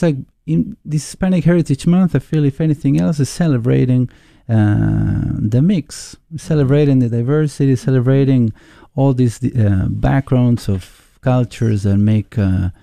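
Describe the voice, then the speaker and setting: A man with an Argentine Spanish accent, a musician guest in a casual interview, speaking in a thoughtful, halting way as he searches for words. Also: mid-range voice, gravelly, warm tone, dry recording, clean studio sound.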